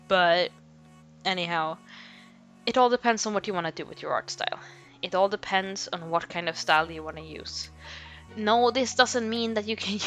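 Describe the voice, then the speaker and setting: Young woman rambling about art, bright tense voice, music playing underneath. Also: on the low side. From Sweden. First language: Swedish. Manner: rambling, frequent "like" fillers, self-laughing asides. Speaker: art vlogger. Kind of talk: casual talk to camera. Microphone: home microphone. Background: background music.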